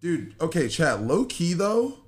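Young American man, swooping animated pitch. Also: a little slow. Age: 20s. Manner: joking, teasing, slangy. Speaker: live streamer. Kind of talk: casual chat with viewers and partner. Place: small bedroom, indoors.

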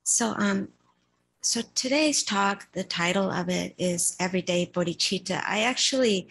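A middle-aged woman with a soft voice giving an informal dharma talk in a meditation hall into a stand mic, halting and full of fillers. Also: mid-range voice, husky. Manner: halting, with many fillers and restarts. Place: meditation hall shrine room, indoors. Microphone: stand mic in front of her.

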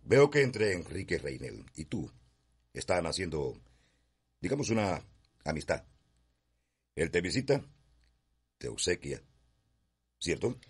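An authoritarian Cuban father in a radio drama. His voice is deep and very rough, swinging widely in pitch as he commands and needles, with impatience never far away. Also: very animated, measured pace, long pauses, full character voice. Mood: probing, firm. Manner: commanding, sardonic. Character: stern, authoritarian father, easily exasperated.

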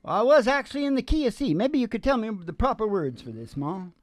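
A man's voice: sits high, sing-song, fast talker, slight background noise. An older man, a musician hosting a show, chats casually and meanders in a folksy way into a close stage microphone. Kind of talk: rambling casual stage banter. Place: small curtained performance stage indoors. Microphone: stage microphone on a stand, close up. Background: acoustic guitar.

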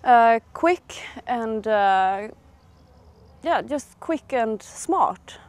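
A woman's voice: a little high, lively intonation, extremely slow, no room sound. A woman who trains a national riding team, answering briefly and cheerfully in an interview.

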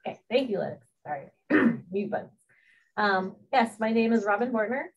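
A woman's voice: on the high side, expressive, moderate pace, husky. A woman wildlife biologist introduces herself on a video-call panel. Her audio sounds muffled and slightly lo-fi.

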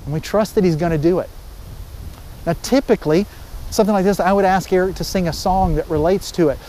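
A man's voice: high voice, a little fast, mellow, low-quality recording. A middle-aged man, a pastor, giving an informal, conversational sermon outdoors on a lapel mic. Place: outdoors, under trees by water. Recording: faint outdoor background noise.

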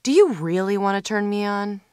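Woman as sardonic, animated cartoon femme fatale. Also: medium pitch, normal speed, clean tone, no echo, lightly acted. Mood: teasing. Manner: sarcastic, contemptuous. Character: jaded, foul-mouthed, menacing cartoon femme fatale.

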